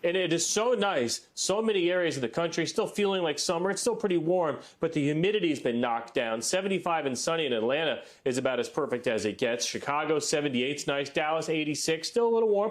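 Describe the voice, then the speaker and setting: Easygoing male TV weatherman. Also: fairly high pitch. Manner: conversational, easygoing with light asides. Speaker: weather forecaster. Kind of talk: live TV weather segment.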